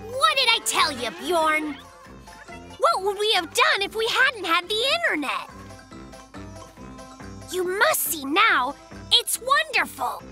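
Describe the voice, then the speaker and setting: A performer voicing an excitable, boastful young polar bear in a children's cartoon, exclamatory and full of slang. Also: very high-pitched, slow pace, pauses often, soft background music, exaggerated voice. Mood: excited, smug. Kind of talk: children's cartoon dub acting.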